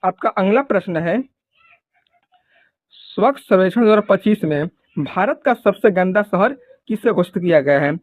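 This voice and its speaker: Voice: medium pitch, expressive, normal speed, pauses often, dry recording. A quiz presenter reading multiple-choice exam questions and answers in a formulaic, repetitive way. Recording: muffled phone-like audio.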